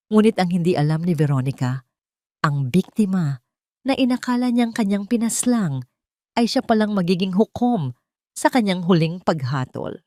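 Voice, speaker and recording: A woman's voice: low-pitched, a little mellow, no room sound, studio quality. A woman with a Filipino accent narrating a scripted dramatic story.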